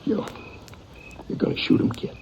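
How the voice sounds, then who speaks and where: An actor playing an outlaw brother who follows along, in a 1950s radio western, with an American accent. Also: male voice, moderate pace, close to an everyday voice. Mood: uneasy, resigned. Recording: muffled, noisy 1950s radio recording.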